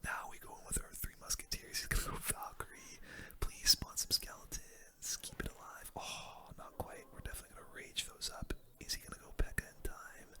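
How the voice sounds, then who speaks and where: A person whispering casual, slangy ASMR game commentary in a breathy, unvoiced voice close to the microphone. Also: a little fast. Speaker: gaming video host.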